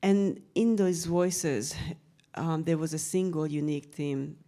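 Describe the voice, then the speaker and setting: Woman, Hungarian accent, low pitch, mellow tone, earnest, measured, prepared talk. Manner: measured, earnest delivery with occasional fillers. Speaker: author and researcher presenting her book.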